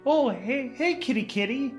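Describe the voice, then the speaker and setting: Man voicing an audio drama space crewman, confused, awkward, hesitant, eager, raised pitch. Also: animated, measured pace, faint music behind. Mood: surprised, friendly.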